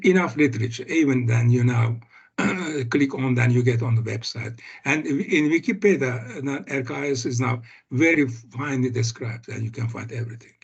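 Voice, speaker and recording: An older man giving an academic seminar on a video call, speaking haltingly with many fillers and restarts. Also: mid-range voice, gravelly, breathy edge. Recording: muffled video call audio.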